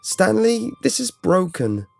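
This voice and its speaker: A man acts out a frightened boy in an audiobook horror tale. The voice jumps high above the narrator's, urgent and pleading, as the boy protests and yells to get free. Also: big pitch swings, normal speed, creaky, soft background music, heavily acted. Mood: alarmed. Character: frightened boy trapped in electric arcade chair, protesting. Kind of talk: first-person horror audiobook character dialogue.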